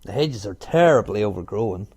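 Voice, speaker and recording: Man as a kindly storybook gardener, animated. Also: lowish voice, normal speed, husky. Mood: matter-of-fact.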